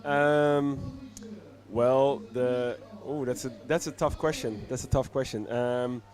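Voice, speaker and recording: A man's voice: medium pitch, steady tone, slow pace, darkish tone. A man speaking as a studio guest in a casual live interview, easygoing, feeling out his phrases piece by piece.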